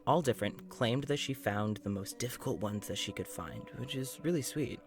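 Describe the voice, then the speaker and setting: A man's voice: medium pitch, lively intonation, normal speed, music in the background, close to an everyday voice. A man playing a weary, rambling doctor in an audio-drama journal, musing aloud in a hesitant, self-conscious way. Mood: fond, casual. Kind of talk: intimate audio-journal monologue in a fiction podcast.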